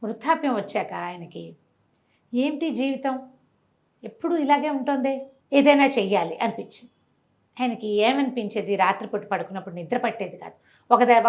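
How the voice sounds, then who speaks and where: Woman, high voice, animated storytelling, conversational, rhetorical questions. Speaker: storyteller, interview guest.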